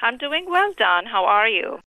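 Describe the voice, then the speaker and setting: A middle-aged woman from Syria giving measured, articulate, formally phrased answers as an author and physician in an interview over the telephone. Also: on the high side, big pitch swings, pushed and bright, no room sound. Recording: tinny narrow phone line.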